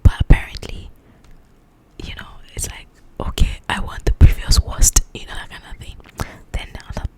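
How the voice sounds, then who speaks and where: A woman whispering in an ASMR style as she casually chats about a book in a rambling, animated, informal way. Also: moderate pace, low-quality recording. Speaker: ASMR creator reviewing a book.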